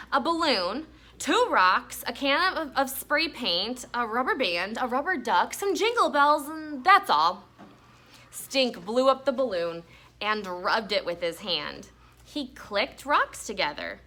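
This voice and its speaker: A woman's voice: sits high, big pitch swings, slow, bright, forceful tone. A woman teaching an elementary class, asking questions and reading a story aloud to pupils. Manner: asks questions, echoes pupils' answers. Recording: faint room noise.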